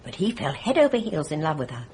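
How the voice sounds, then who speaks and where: A woman playing a gossipy, sharp-tongued nurse who shares scandal in a knowing, confiding way in a radio mystery drama. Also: low voice, sing-song, fast, a little hiss or hum.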